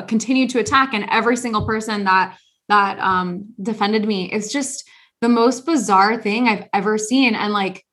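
A young woman co-hosting a casual podcast chat, telling a long story with lots of restarts and fillers. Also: medium pitch. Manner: rambling, animated storytelling with many restarts. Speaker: podcast co-host.

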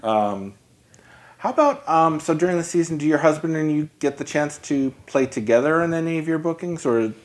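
A man's voice: fairly high pitch, animated, normal speed. A man from New York hosting a podcast, chatting casually with a guest and dropping joking asides. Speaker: podcast host. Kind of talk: conversational interview.

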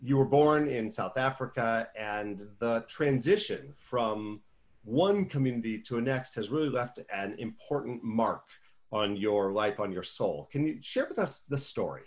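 A man interviewing a friend, asking questions in a deliberate, thoughtful way. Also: mid-range voice, expressive.

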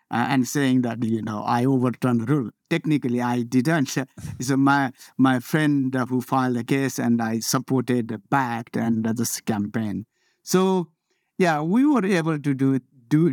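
A man from Nepal, a mountaineer, answering interview questions in a casual, halting way with many fillers and restarts. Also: mid-range voice, a little mellow, no echo, clean studio sound.

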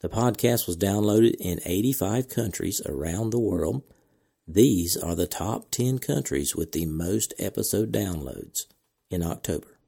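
A man hosting a podcast, reading announcements in a measured, deliberate way with casual asides, his voice cracking from a slight cold. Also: low voice, expressive, creaky, warm tone, husky. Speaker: podcast host.

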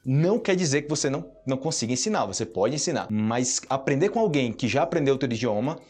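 A man's voice: medium pitch, animated, quick pace, a little mellow, husky. Chatty Brazilian man teaching Portuguese. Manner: chatty, checks in with listeners often. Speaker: podcast host teaching Portuguese. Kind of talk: casual teaching chat. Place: indoors, hot room.

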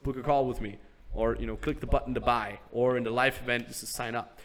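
A man hosting a podcast, giving casual business advice step by step. He keeps acting out sample sales pitches and checking in with "right?". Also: steady tone, fast, a bit bright. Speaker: business coach host.

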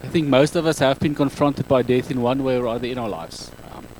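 A man with an Afrikaans accent addresses a live audience on a handheld mic. His English is halting, and he often corrects himself and pauses on an um. His voice sits at a middle pitch, with a little faint noise behind it. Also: steady tone, darkish tone, low-quality recording. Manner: halting, self-correcting non-native English with frequent ums. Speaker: speaker at an evening talk. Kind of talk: public talk to a live audience.

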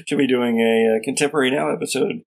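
An American man narrating a tabletop game as host and game master, with deliberate, theatrical phrasing. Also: medium pitch, fairly even intonation, creaky, background noise.

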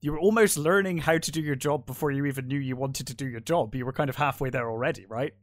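A man's voice: medium pitch, quick pace, no room sound, clean studio sound. A male interview host asking questions in a curious, eager way. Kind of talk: casual interview chat.

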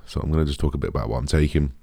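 Very deep, raspy British man. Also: steady tone, rapid-fire, dark tone, no room sound. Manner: rambling, informal, sweary. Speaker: podcast host. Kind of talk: casual solo podcast chat.

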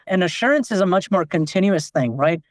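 A man's voice: sits high, lively intonation, a little fast, no echo, studio quality. A man from Nepal, a company founder, explaining things in a podcast interview with lots of "like" fillers. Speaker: company founder and CEO, guest.

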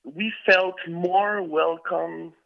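A man's voice: high-pitched, fairly even intonation, extremely slow, creaky, no echo, phone-quality sound. A man from Quebec with a French Canadian accent, a band member talking loosely in a casual interview, full of fillers and restarts.

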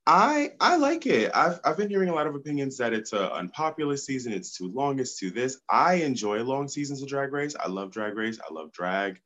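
A man's voice: mid-range voice, very animated, fairly quick, hardly any pauses, a bit bright. A congested man, a podcast guest, talking enthusiastically and outspokenly. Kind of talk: casual podcast chat.

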